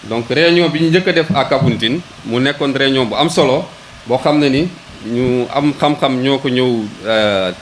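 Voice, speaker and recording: A man's voice: medium pitch, normal speed, slight background noise, low-quality recording. A man with a Senegalese accent speaking as an official at a public ceremony, hesitant, often repeating words as he searches for the next one. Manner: hesitant, repeating words, switching between Wolof and French. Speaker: local government official.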